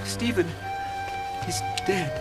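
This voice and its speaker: A distraught, earnest bearer of bad news in a biblical audio drama. His voice is high for a man and gravely halting, with faint music underneath. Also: animated. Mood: shaken, grieving.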